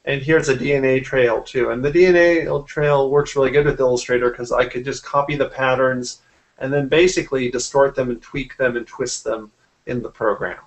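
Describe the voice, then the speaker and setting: A man in his forties, a graphic artist, casually explaining his work in an interview over a video call from a room at home. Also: mid-range voice. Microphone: computer mic on a video call.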